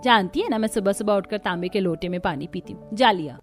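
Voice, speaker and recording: A woman's voice: medium pitch, fast, faint music behind, close to an everyday voice. A woman voicing a bossy, dismissive older mother-in-law who scolds and orders in a cartoon story. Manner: commanding, scolding. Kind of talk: Hindi cartoon story voice acting.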